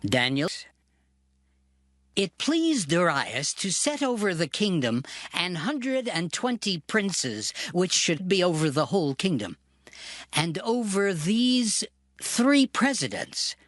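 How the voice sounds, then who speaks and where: Male narrator, highish animated voice, unhurried, formal scripture storytelling, music underneath. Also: dry recording, clean studio sound.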